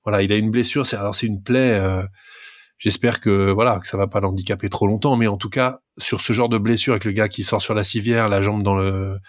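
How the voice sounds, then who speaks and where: A man's voice: fairly low pitch, steady tone, brisk, no room sound, studio quality. A man co-hosting a sports podcast, talkative and emphatic as he gives his opinions in casual discussion. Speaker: rugby podcast co-host.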